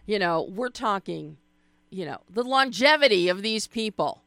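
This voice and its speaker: A woman's voice: mid-range voice, lively intonation, moderate pace, a bit bright, dry recording, clean studio sound. A middle-aged woman hosting a radio interview, playful and chatty, with filler words and side remarks. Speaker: radio host.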